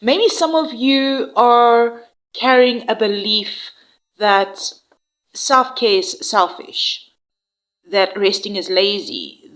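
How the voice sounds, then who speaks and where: A woman doing a tarot reading in a gentle, reassuring way, with fillers and restarts, her voice nasal and congested from a flu. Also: medium pitch, rough recording. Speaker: tarot reader.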